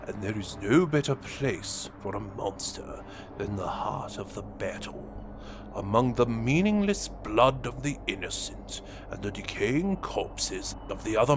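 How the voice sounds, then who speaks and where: A man playing a villainous, grandiose alien warlord in an audio drama monologue, with theatrical pauses and menacing, hushed drops and swells. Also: fairly low pitch, a little hiss or hum, full character voice.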